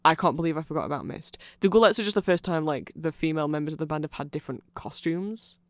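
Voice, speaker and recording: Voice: mid-range voice, quick pace, no room sound, clean studio sound. A person giving a casual, rambling ASMR explainer in a nerdy, chatty, self-correcting way, like an enthusiastic fan talking to the listener. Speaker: host explaining band lore to the listener.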